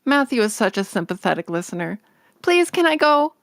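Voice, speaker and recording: A woman playing an excitable, dreamy orphan girl in a storybook read-along, breathless and gushing. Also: mid-range voice, a little fast, no room sound, studio quality. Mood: pleading. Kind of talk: acted audiobook read-along.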